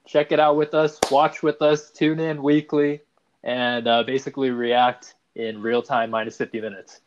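A young man co-hosting a casual podcast, talking in a playful, hurried way. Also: mid-range voice, flat delivery. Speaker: podcast co-host. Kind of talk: casual chat. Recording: slightly dull lo-fi recording.

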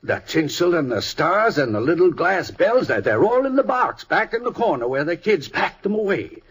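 An actor playing a kindly, wistful old country storekeeper with a husky, folksy, halting delivery in an old radio drama. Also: male voice, high voice, sing-song, a bit bright, exaggerated voice. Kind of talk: 1950s radio drama acting. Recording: old radio broadcast recording.

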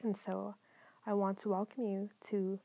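Soft-spoken woman with a slightly gravelly voice. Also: mid-range voice, a little slow, no room sound. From Philippines. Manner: calm, mellow, partly whispered, many ums. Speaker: podcast host. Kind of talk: soft-spoken solo podcast monologue.

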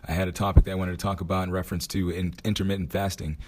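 A man hosting his own podcast, hoarse after a night out, talking casually and thinking aloud with frequent restarts. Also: deep voice, level tone, rapid-fire, a little mellow, a little hiss or hum. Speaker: podcast host. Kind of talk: casual motivational monologue.

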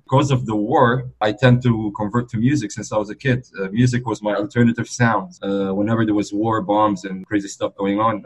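A man from Lebanon in his forties with a slightly low, husky voice. He talks loosely and warmly in an interview, leaning on "like" and "you know" as he goes. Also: low-quality recording. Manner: easygoing, rambling, frequent "like" and "you know". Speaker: guest DJ. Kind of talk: relaxed conversational interview.

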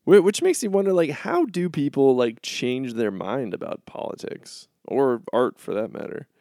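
A young man co-hosting a casual podcast chat, talking in halting bursts with frequent restarts and easy laughs. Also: medium pitch, very animated, creaky, dark tone, no echo, studio quality. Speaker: podcast co-host.

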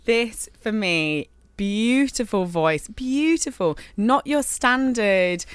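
A woman's voice: medium pitch, animated, takes it slowly, smooth, no room sound. A woman co-hosting a casual podcast, gushing enthusiastically as she reviews song contest entries. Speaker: podcast co-host.

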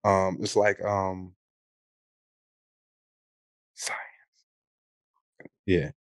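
A man's voice: deep voice, level tone, very slow, no room sound. An American man co-hosting a podcast in casual, unscripted chat, speaking in an opinionated, colloquial way with some mild swearing. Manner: opinionated, colloquial, mildly profane. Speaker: podcast co-host.

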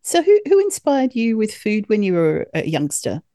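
A woman's voice: mid-range voice, wildly swooping pitch, normal speed, dark tone, no echo, studio quality. A woman who works as a yacht chef, hosting a podcast interview in a friendly, chatty way and laughing often. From Australia.

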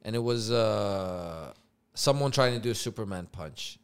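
A man's voice: on the low side, expressive, measured pace. A man co-hosting a casual reaction chat, laughing often and swearing casually. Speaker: podcast co-host.

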